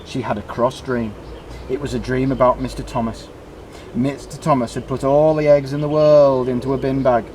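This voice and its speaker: Male storyteller, mid pitch, children's narration, deliberate emphasis, clear outdoor background noise. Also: fairly even intonation, a little mellow, poor audio. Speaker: storybook reader. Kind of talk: children's story read aloud.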